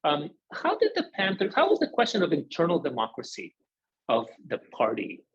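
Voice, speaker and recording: A man moderating an academic discussion off the cuff, often pausing and searching for his words. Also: on the high side, huge pitch swings, slightly rough, highs cut off.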